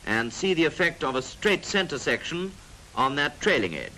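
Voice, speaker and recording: A British man with a fairly high, forceful voice narrates a wartime instructional film. His delivery is clipped and scripted, with dry little asides. The 1940s soundtrack carries some faint noise. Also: very animated, moderate pace, rough recording.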